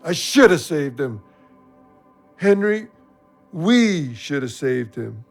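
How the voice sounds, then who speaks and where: A man's voice: fairly high pitch, very animated, slow, vocal fry, soft background music, full character voice. An actor playing a grief-stricken, drunken friend brooding on his guilt in an audio drama. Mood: guilt-ridden.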